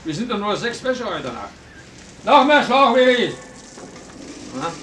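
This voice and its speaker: An actor playing a brash, joshing tram depot car washer in a German radio play. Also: male voice, very high voice, big pitch swings, unhurried, sharp tone, exaggerated voice. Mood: teasing. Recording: echoey space, faint noise.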